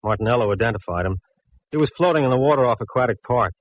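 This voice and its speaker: Muffled vintage radio recording, male actor as folksy cop, teasing, very lively swooping intonation, medium-pitched man. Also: fast talker, close to an everyday voice. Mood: matter-of-fact. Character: teasing, plain-spoken male police detective. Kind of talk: 1940s radio drama acting.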